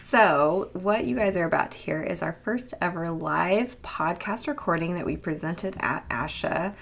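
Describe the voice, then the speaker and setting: A woman in her twenties co-hosts a casual podcast, talking easily with frequent laughs and fillers. Her voice sits a little low. She records from a rented apartment. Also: unhurried, creaky. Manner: laughs often, relaxed, fillers. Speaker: podcast co-host.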